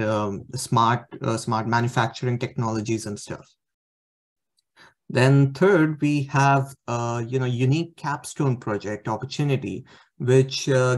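Hesitant young man presenting slides. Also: mid-range voice. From India. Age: young adult. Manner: hesitant, many fillers. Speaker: student presenter. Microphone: webcam on video call.

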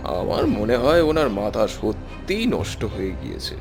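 A man voicing a sneering, mocking village pundit in a cartoon, with a gravelly voice. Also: lowish voice, wildly swooping pitch, music in the background, full character voice. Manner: mocking, unhurried. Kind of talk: cartoon voice acting.

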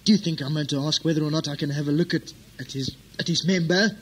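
A man's voice: on the high side, quick pace, slightly rough. A man acting a fussy, cautious and suspicious brother in a comic audio drama. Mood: scheming.

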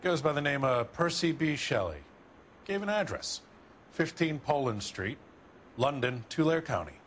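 An actor playing a grudging middle-aged American pawnshop keeper in a video game, terse and grumbling. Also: male voice, mid-range voice, very animated, unhurried, slight background noise. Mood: terse, resigned. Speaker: pawnbroker. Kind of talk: video game dialogue, crime drama.

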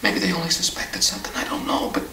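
A man acting in an audio drama as an uneasy small-town veteran, confiding in a halting, hushed way. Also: natural voice. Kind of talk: naturalistic radio drama acting. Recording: hissy, roomy, distant tape.

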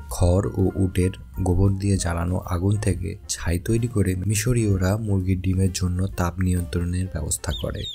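A man with a deep, dark voice and a gravelly edge tells an educational story in a calm, explanatory way. It is a scripted voice-over, with faint music playing underneath. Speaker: narrator of an educational channel. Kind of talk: scripted documentary narration.

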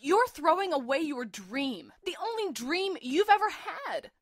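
A slightly high-voiced, sardonic young woman played by an actress in an audio drama, a defiant and protective med student. She talks bluntly and with intensity, recorded close and dry. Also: very animated, unhurried, dry recording. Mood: pleading. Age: young adult. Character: defiant, sarcastic, fiercely protective young female medical student.

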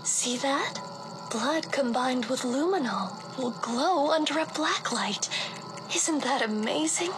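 High-voiced woman acting a gentle mother. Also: expressive, takes it slowly. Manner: gentle endearments, explains step by step. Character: doting, calmly instructive mother. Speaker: voice actor in a fan-made animated story. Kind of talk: acted motherly storytelling dialogue. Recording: loud music behind the voice. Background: loud music.

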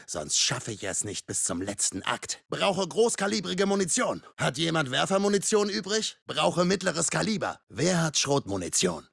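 An actor playing a menacing masked slasher killer in a video game, raspy and gravelly, threatening, mocking and darkly playful. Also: male voice, a little high, fairly bright tone, no echo, clean studio sound, heavily acted. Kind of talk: video game combat voice lines.

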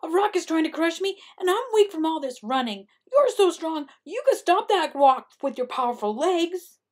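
A woman voicing a selfish, whiny male coyote in a storybook tale, with loud, dramatic pleading and yelping. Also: mid-range voice, expressive, normal speed, creaky, breathy edge, heavily acted. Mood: pleading, flattering.

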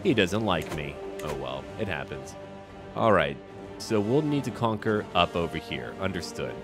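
Man, low voice, casual game commentary, short think-aloud remarks, light background music. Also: sing-song, normal speed. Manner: muttering to himself in short remarks, reads game text aloud. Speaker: gaming video host. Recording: music behind the voice.

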